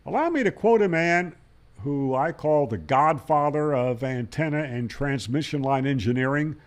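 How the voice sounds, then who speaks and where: A man's voice: a little high, moderate pace. A man hosting a hobby radio channel, explaining and telling stories in a folksy, conversational way. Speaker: ham radio channel host. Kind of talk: explanatory storytelling to camera.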